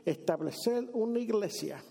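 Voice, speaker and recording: A man's voice: sits high, animated, normal speed, creaky, warm tone. A man from Brooklyn preaching a sermon in a church, speaking conversationally with frequent restarts and occasional English phrases. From Brooklyn, New York. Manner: conversational, many restarts and repeated words, mixes in English phrases. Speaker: pastor. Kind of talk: sermon with Bible reading. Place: church sanctuary.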